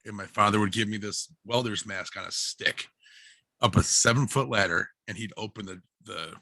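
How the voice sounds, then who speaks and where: Low-voiced man, bright and husky. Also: normal speed, no echo. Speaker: guest, barber and livestreamer. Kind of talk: casual personal anecdotes in a group video chat. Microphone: headset on a video call.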